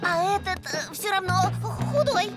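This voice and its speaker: A woman's voice: very high voice, expressive, unhurried. A woman voicing a small, chirpy, helpful little girl creature in a children's cartoon, with a matter-of-fact manner. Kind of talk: children's animated series acting. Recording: studio cartoon dialogue with music behind. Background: cartoon music.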